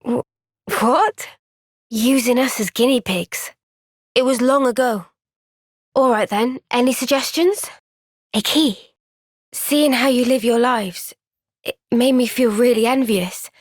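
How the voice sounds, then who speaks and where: Young female fantasy soldier for a game cutscene: high voice, unhurried delivery, earnest and soft-spoken. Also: dry recording, clean studio sound. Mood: concerned, then wistful, earnest. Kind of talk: video game cutscene voice acting.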